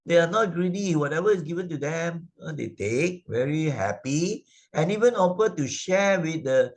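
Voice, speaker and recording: Chatty Malaysian English teacher. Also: male voice, a little high, expressive, normal speed. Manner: chatty, full of fillers and tag questions. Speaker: Sunday school teacher and narrator. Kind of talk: explaining a children's moral story. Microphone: video call presentation mic.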